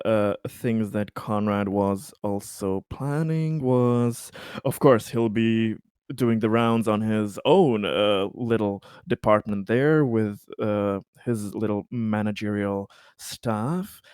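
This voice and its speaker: A man playing a tabletop roleplaying game, casually narrating a character's plans in halting, hesitant phrases with long pauses. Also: on the low side, a little mellow, clean tone, dry recording, clean studio sound.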